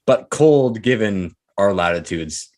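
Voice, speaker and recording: A man's voice: lowish voice, animated, slow, darkish tone. A Canadian man with a strong Canadian accent, chatting and joking casually on a live stream. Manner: chatty, jokey, swears lightly. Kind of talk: casual chat.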